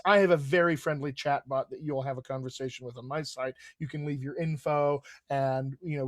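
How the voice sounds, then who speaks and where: A man's voice: a little high, no echo, clean studio sound. A man hosting a live video Q&A as a dialect coach, talking in an upbeat, promotional way with lots of fillers, over a video call. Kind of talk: casual live-stream chat.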